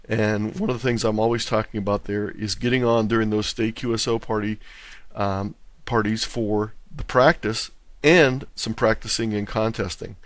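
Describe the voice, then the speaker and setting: A man's voice: lowish voice, no room sound. A man talking as an interview guest, explaining things in a thorough, orderly way. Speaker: interview guest, contest organizer.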